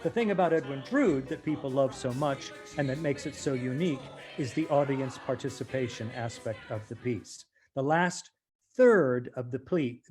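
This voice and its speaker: A man's voice: medium pitch, a little mellow. A male stage actor chatting reflectively as an interview guest, articulate and emphatic.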